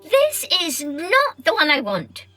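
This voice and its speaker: A woman's voice: sits high, huge pitch swings, faint music behind, pitched above the performer's own voice, exaggerated voice. A woman voicing a haughty, demanding male magpie in a children's story, with a screechy, imperious, complaining delivery. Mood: haughty, dissatisfied. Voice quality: bright, sharp, screechy. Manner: imperious, fussy, drawn-out complaining.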